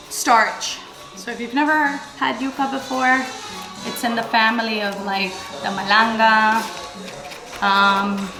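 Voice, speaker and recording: A woman from the Dominican Republic hosting a casual cooking vlog in her home kitchen, chatting playfully with viewers and the kids around her. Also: on the high side. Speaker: cooking channel host. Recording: echoey kitchen with music playing.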